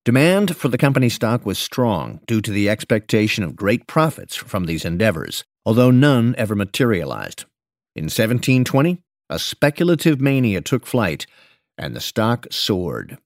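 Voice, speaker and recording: Man narrating with lively, varied intonation. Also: lowish voice, moderate pace, no room sound, clean studio sound. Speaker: narrator reading an investment memo. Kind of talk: scripted audiobook-style narration.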